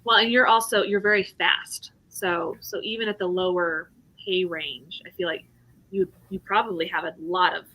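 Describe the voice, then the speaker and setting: A woman with a bright, forward voice hosting a relaxed live chat. She laughs often and keeps the talk moving, reading out questions from viewers. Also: on the low side, normal speed, rough recording.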